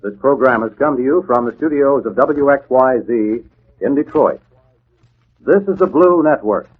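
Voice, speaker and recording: A man's voice: mid-range voice, animated, normal speed, breathy edge. Tinny old-time male radio announcer. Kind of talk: formal 1940s radio sign-off announcement. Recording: tinny old radio broadcast, music behind. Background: music.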